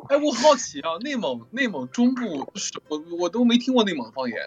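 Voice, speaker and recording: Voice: medium pitch, big pitch swings, a little fast, darkish tone, highs cut off, a little hiss or hum. A playful voice actor from Qingdao, China, chatting casually with castmates and laughing often. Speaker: voice actor in an audio drama. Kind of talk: casual group chat at an audio drama cast event.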